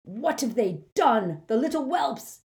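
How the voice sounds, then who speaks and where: A woman's voice: medium pitch, animated, measured pace, pitched above the performer's own voice. A woman voicing a brutish, hot-tempered male wizard thug who talks in coarse dialect. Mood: ranting. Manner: loud, coarse dialect speech.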